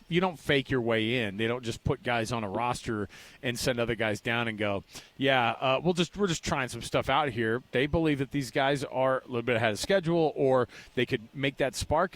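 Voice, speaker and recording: American man, medium pitch, sports radio co-host, casual, informal, rambling phrasing. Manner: informal, rambling, acts out imagined quotes.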